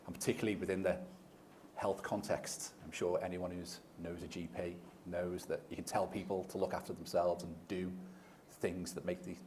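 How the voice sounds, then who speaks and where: A man's voice: deep voice, darkish tone, a little hiss or hum. A man speaking as a panelist, explaining his points in a fluent, conversational way. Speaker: panelist, behavioural scientist. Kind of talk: panel discussion.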